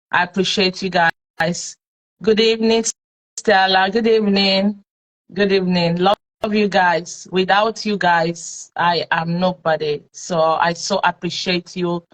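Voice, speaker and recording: A woman's voice: on the low side, rough recording. Nigerian woman hosting a live talk show. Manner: chatty, warm to followers, many fillers. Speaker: host.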